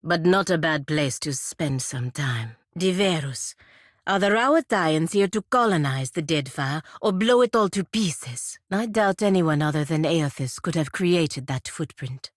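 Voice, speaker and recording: A woman's voice: sits low, animated, no echo. A woman playing a stern, proud feathered warrior in a fantasy video game, speaking formally and measuredly with dry sarcasm. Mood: sober, wry. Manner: formal, measured, dryly sardonic, avoids contractions. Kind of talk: scripted video game companion voice lines.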